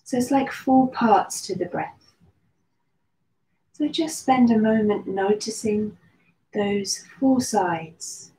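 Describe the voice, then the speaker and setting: A woman leading a guided meditation, speaking slowly and soothingly with long pauses. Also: mid-range voice, warm tone. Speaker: meditation and yoga teacher. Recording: roomy echo with faint background noise.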